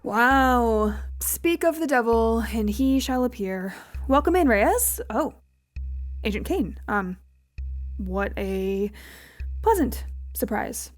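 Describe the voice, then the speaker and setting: An actress playing a prim, officious agent who runs formal debriefings with dry sarcasm in an audio drama. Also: female voice, a little high, animated, slow, faint music behind. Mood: sarcastic, thrown.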